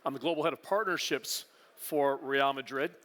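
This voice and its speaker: A man giving a business presentation at a conference, relaxed with joking asides and frequent fillers. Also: medium pitch, normal speed. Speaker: conference speaker, partnerships executive. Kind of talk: business presentation to a live audience. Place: conference hall. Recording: long hall reverb.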